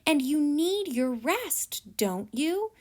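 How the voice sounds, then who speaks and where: Storybook ant, voiced by a woman: small, wise, gentle and curious, high voice, very animated melody. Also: takes it slowly, heavily acted. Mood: reassuring. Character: kindly, wise, reassuring little male ant. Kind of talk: children's storybook read aloud.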